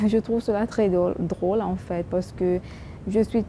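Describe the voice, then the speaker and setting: Woman vlogger, non-native French with a strong accent, mid pitch, halting delivery; noisy take with a low rumble. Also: steady tone, warm tone. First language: English. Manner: halting, many restarts and fillers. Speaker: YouTube vlogger. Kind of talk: casual talk to camera.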